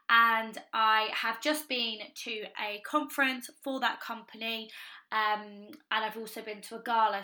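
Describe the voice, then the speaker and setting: Bright, upbeat young woman, slightly croaky. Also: on the high side. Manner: upbeat, gushing, with frequent ums and "you know". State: slightly croaky voice after a party. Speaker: podcast host and business coach. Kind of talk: casual solo podcast talk.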